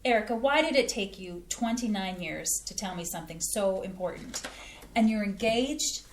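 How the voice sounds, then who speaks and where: A woman's voice: mid-range voice, moderate pace, slight background noise, close to an everyday voice. A woman reading the part of a fed-up middle-aged mother and wife in an amateur staged play reading, speaking emphatically and scolding. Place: theater stage. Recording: roomy stage sound.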